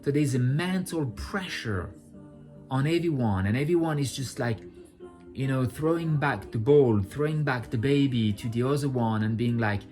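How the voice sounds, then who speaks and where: A man's voice: mid-range voice, moderate pace, a little mellow, soft background music. A man talking straight to his audience in an unscripted personal monologue, blunt and profane, building to impassioned outbursts. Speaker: vlogger sharing personal insights.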